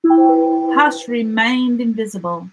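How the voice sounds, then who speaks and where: A woman with an Australian accent reads a children's picture book aloud, expressively and with deliberate dramatic emphasis. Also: fairly high pitch, a little mellow, soft background music, rough recording. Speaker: storyteller.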